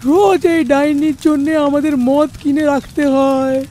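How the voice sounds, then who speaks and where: A woman's voice: sits high, steady tone, normal speed, dark tone, a little hiss or hum, natural voice. A woman voicing an anxious, earnest village man in a cartoon fairy tale, with a pleading manner. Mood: troubled. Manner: pleading, worried. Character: anxious village headman, adult male, earnest.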